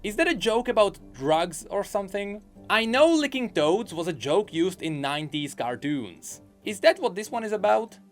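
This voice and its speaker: Animated male lore commentator. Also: sits high, moderate pace, few pauses, soft background music. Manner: chatty, speculative. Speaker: video game lore commentator.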